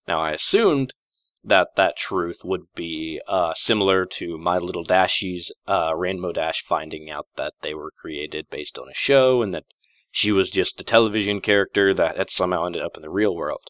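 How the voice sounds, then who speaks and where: A man with a low, deep voice talks casually to his audience as the host of a channel. His delivery is unscripted and conversational, wandering a little as he goes. The sound is dry and close, with very little room around it. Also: normal speed, fairly bright tone, dry recording. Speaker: host of a story-reading channel. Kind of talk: casual unscripted commentary to listeners.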